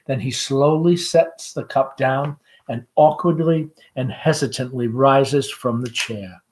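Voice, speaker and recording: A school principal reading a play aloud, narrating stage directions in a measured, deliberate, expressive way. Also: mid-range voice.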